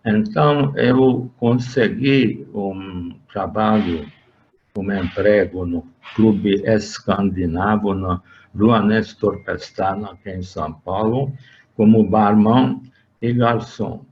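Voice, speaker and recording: An older man with a Hungarian accent speaks very slowly and haltingly, filling pauses with "eh" and often starting over. His voice sits fairly low. The recording sounds dull and lo-fi. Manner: slow and halting, with frequent "eh" fillers and restarts. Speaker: interviewee. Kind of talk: recounting his working life in an interview.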